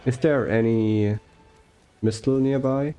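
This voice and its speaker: Young male gaming commentator, mellow tone, slow soft-spoken rambling delivery. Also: medium pitch, steady tone, faint music behind. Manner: rambling with fillers and self-corrections. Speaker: gaming YouTuber. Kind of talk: relaxed gameplay commentary.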